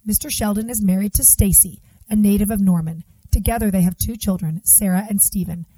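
A woman's voice: mid-range voice, fairly quick, mellow, dry recording, poor audio. A woman co-hosting a podcast, reading a guest introduction before moving into chatty interview talk. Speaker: podcast co-host. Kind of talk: scripted bio introduction then casual chat.

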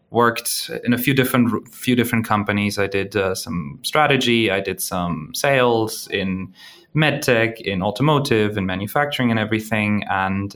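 A man's voice: on the low side, a bit bright. A young man from Germany, a student guest who talks thoughtfully and often restarts his sentences.

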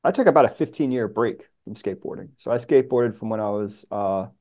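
Middle-aged American man, New Yorker, soft-edged dark tone, casual conversational delivery. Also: on the low side, expressive, fast. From New York, USA. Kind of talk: casual chat.

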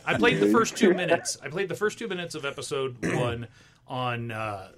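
A man's voice: medium pitch, very animated, moderate pace, a loud crowd. Man in his forties, teasing radio guest. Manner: teasing, dry humor. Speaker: showrunner and guest. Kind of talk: casual radio interview. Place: radio studio.

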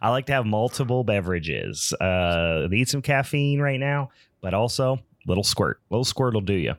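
A low-pitched man banters in a slangy, jokey drawl. He sounds close to a dry microphone. He is chatting casually despite feeling unwell. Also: animated, normal speed, dry recording. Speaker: podcast co-host. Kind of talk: casual chat.

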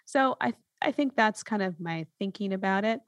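A woman's voice: medium pitch, expressive, normal speed, no echo, studio quality. A woman hosting a podcast in a casual, reflective conversational style, often checking in with a questioning tag as she explains. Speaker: podcast host.

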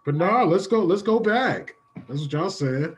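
A man's voice: high-pitched, expressive, unhurried. An American man in casual podcast banter, slangy and teasingly contrary. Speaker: podcast performer.

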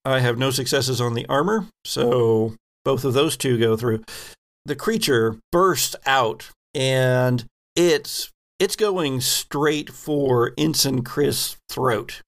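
Slow, creaky man running a game. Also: medium pitch. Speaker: game master. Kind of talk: narrating a tabletop game.

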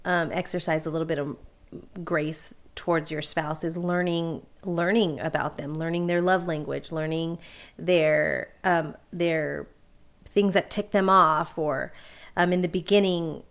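A woman on a podcast, speaking candidly and reflectively about her marriage, quick to laugh. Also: sits low, steady tone. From Puerto Rico. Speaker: podcast guest. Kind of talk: casual podcast conversation.

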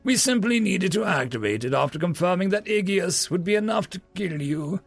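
A man voicing a smug, haughty old magistrate with a gruff, pompous delivery in a fantasy audiobook. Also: high-pitched, expressive, a little slow, dry recording, faint music behind, pitched above the performer's own voice, heavily acted. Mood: boastful, menacing. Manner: pompous, boastful.

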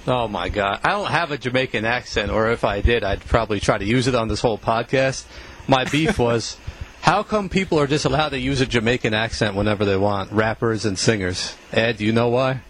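A man's voice: medium pitch, a little fast, fairly bright tone, slight background noise, rough recording. An American man co-hosting a podcast, bantering casually in a wry, joking manner. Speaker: podcast co-host.

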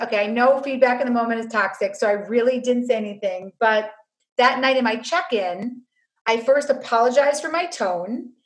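Earnest woman laying out numbered advice. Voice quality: low-voiced by her own account. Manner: earnest, lays out numbered steps, tells anecdotes. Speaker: author and family mediator. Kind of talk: conversational guest explaining advice.

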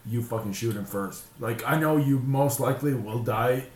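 A man's voice: mid-range voice, normal speed, a loud crowd. A man from Ukraine, chatting casually and bluntly, swearing and stammering over repeated words. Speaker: podcast host. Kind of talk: casual chat. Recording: echoey room, clear background noise.

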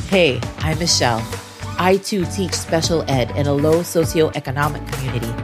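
A woman hosting her own podcast as a special education teacher, talking to listeners in a chatty, encouraging way while stuffed up from the flu. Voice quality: lower, congested voice. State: stuffed up, getting over the flu. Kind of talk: solo podcast talk.

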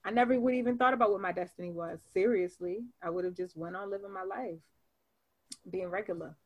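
A young woman. She tells her story with lively, animated energy and says "like" often, in a voice of middle pitch. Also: brisk. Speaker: podcast guest. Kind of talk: casual chat.

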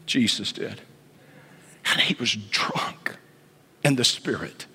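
A man in his late fifties preaches slowly and deliberately, his voice swinging widely from whispered asides to high, ringing peaks. The tone is husky and a little breathy. The sound carries a long church-hall reverb. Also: expressive. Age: 50s. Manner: swings from hushed whispers to sudden high outbursts. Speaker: pastor. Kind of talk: sermon. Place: church sanctuary.

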